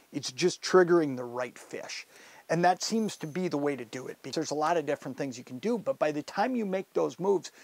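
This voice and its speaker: A man's voice: on the high side, a little mellow, no room sound. A middle-aged man giving casual, instructional fishing commentary in a folksy, enthusiastic, conversational way. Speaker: fishing show host. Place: inside a fabric ice-fishing shelter.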